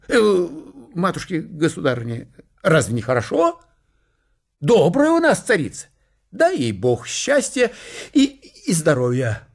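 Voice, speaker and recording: A purring old paymaster. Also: male voice, high voice, exaggerated voice. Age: older. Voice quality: soft purring drawl. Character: old male court paymaster, folksy and sly. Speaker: radio drama actor. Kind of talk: radio play acting.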